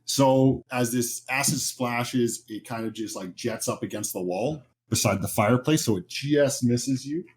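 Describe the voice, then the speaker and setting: A man running a horror tabletop game, describing scenes informally and haltingly as game master. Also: mid-range voice, darkish tone, slight background noise.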